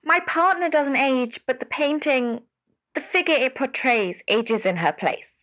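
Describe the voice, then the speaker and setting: A woman's voice: high-pitched, animated, unhurried, a bit bright. A woman playing an anxious, devoted caller to a radio advice show in an audio drama, hesitant and earnest. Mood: worried. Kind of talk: scripted audio drama phone-in.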